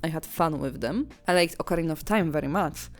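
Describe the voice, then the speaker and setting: Low-voiced young woman, hesitant. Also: a little fast. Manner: hesitant, self-correcting. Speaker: guest panelist. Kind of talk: casual chat. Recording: faint music behind.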